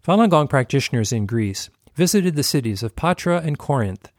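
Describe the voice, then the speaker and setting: A man delivers scripted news narration at an even, measured pace. His voice is mid-pitched and sits close and dry, with no room sound around it. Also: no room sound.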